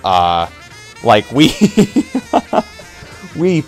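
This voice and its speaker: A man's voice: fairly high pitch, huge pitch swings, very slow. Rambling man streamer over music. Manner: hesitant, rambling, repeats phrases. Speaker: streamer and roleplay player. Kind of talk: casual livestream chat. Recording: music playing behind.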